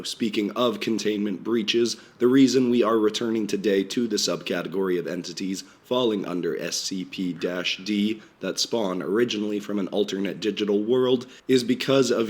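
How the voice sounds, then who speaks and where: A man voicing a stiff, formal male research lecturer, speaking in a measured way with a voice strained by a cold. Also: lowish voice, lightly acted.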